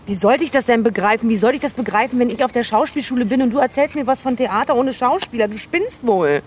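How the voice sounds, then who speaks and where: A woman's voice: a little high, quick pace, a little mellow, slight background noise, close to an everyday voice. An actress in her twenties playing a blunt, incredulous young woman facing her ex in a naturalistic radio drama. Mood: indignant.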